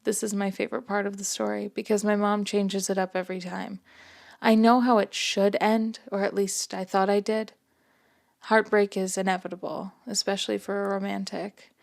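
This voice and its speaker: A woman reading her own first-person story aloud in a gentle, soft-spoken way. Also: medium pitch, level tone, normal speed, gravelly. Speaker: author and narrator.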